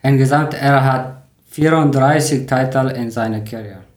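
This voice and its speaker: Mann aus Afghanistan als Podcast-Moderator, vorsichtiges Lerner-Deutsch. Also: male voice, medium pitch, reverberant. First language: not German. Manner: careful, learner grammar, slightly halting. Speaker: podcast host. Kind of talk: reading prepared talk in learner German.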